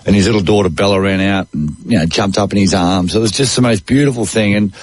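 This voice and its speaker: A middle-aged Australian man talks sport as a radio host, matey and conversational. His voice sits fairly low, and the sound is close and dry, with little room on it. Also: fast talker, dry recording. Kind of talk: casual radio sports chat. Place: radio studio.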